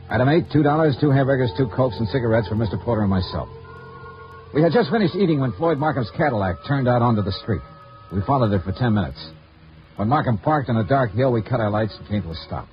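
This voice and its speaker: Man acting hardboiled radio detective, animated delivery. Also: mid-range voice, natural voice. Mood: brisk narrating. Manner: terse, wry, pressing. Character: hardboiled insurance investigator, male, brisk, cynical, commanding. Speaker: lead detective and narrator. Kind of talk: 1950s radio detective acting, clipped first-person narration. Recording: dull vintage radio recording, music behind.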